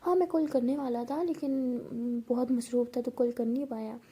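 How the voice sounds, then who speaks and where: A woman voicing a kindly middle-aged father in an oral story, speaking plainly and gently. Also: fairly high pitch, brisk, breathy edge. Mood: kindly, puzzled.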